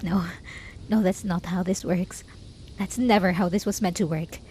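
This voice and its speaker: A woman's voice: fairly low pitch, steady tone, moderate pace, mellow, a little hiss or hum, lightly acted. A woman playing a lonely, obsessive hermit in an intimate roleplay monologue, pleading desperately with sighs and whispers. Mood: frantic, possessive. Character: lonely, obsessive, lovesick hermit begging a traveler to stay.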